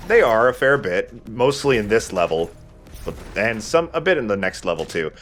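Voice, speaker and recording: A man's voice: fairly low pitch, lively intonation, moderate pace, a bit bright, faint music behind. A man giving casual let's play commentary in a playful, self-deprecating way, swearing casually. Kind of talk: casual gameplay commentary with banter.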